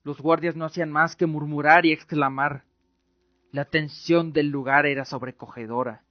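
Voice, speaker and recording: A man's voice: on the high side, steady tone, moderate pace, no room sound. A man narrating a scripted radio drama in a solemn, theatrical way. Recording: muffled lo-fi audio, music behind. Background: music.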